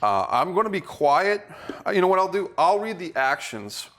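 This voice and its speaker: A man leading a table read as director, giving casual instructions and reading stage directions in a halting way. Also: high voice, sing-song, fairly bright tone.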